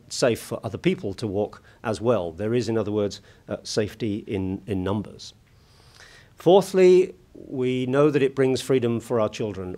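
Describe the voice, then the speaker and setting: A husky British man speaks at a lectern microphone, delivering a keynote to a conference audience. He talks in a measured, deliberate way, often pausing on small hesitations before pressing on. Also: mid-range voice, mellow. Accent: British English. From United Kingdom. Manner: measured, hesitant with many "uh" fillers. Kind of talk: formal conference talk. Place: large conference hall at a lectern.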